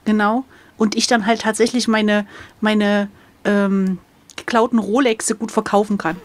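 A woman's voice: mid-range voice, level tone, unhurried, no room sound. A woman playing an adventure gamebook, chatting casually and thinking aloud in a playful way. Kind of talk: casual chat during a game.

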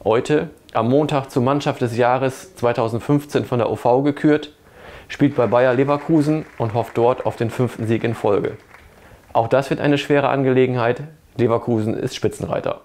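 A man's voice: medium pitch, steady tone, moderate pace, darkish tone. A middle-aged man giving a scripted sports report. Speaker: sports journalist. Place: indoor studio.

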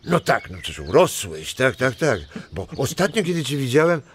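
A man playing a jovial, doting old grandfather in a children's audio drama, with chuckles and drawn-out exclamations. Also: mid-range voice, very animated, slight background noise. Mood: fond, reminiscing.

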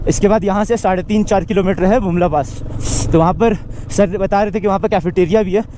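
A young man from India narrating a casual, excited motorbike travel vlog outdoors, recorded on an action camera with engine and wind noise. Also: high-pitched, fairly quick. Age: young adult. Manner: chatty, excited, mixes in English words. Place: outdoors on a mountain road, riding a motorbike. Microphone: action camera on the bike.

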